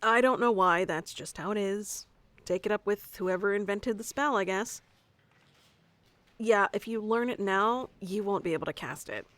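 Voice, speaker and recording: A woman's voice: mid-range voice, normal speed, a bit bright, no echo, close to an everyday voice. A woman playing a haughty, easily flustered tsundere young wizard mentor in an ASMR roleplay, bossy and teasing, stammering when flustered. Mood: casual, explaining. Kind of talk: ASMR roleplay acting addressed to the listener.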